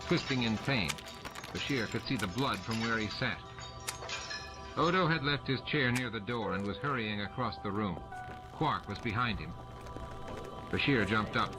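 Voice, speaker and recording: A man's voice: fairly low pitch, animated, normal speed, poor audio. A man reading a science fiction novel as scripted audiobook narration. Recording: music and effects under the voice. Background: music and sound effects.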